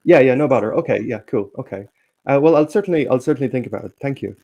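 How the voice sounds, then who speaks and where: A man hosting a casual live stream, slightly hoarse and halting, with many restarts. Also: medium pitch, lively intonation, warm tone, highs cut off. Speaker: stream host.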